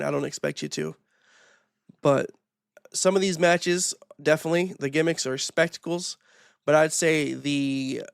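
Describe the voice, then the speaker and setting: A man hosting his own wrestling podcast, talking in a rambling, casual way with lots of fillers, his voice hoarse from a recent illness. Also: a little high, no echo, clean studio sound. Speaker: podcast host. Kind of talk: solo wrestling opinion podcast.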